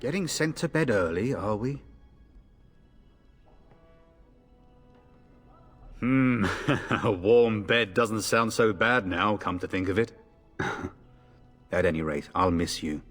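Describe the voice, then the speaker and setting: A man's voice: lowish voice, lively intonation, unhurried, a bit bright, long reverb tail, soft background music. A man playing a jaunty, teasing young noble soldier in a video game. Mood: fond, teasing.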